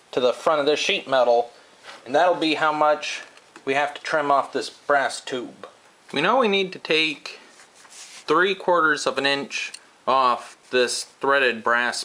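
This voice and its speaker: Slow, methodical man explaining a repair. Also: mid-range voice, pushed and bright. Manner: slow, step-by-step and methodical, pausing as he works. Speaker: DIY welding instructor. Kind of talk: hands-on how-to explanation. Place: indoor workshop bench.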